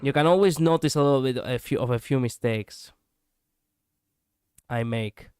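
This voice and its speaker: A man's voice: mid-range voice, moderate pace, darkish tone, clear, resonant tone, dry recording, studio quality. A male streamer chatting casually and reflectively, poking fun at his own slip-ups. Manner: musing, self-deprecating, laughs at own slips. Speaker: VTuber streamer.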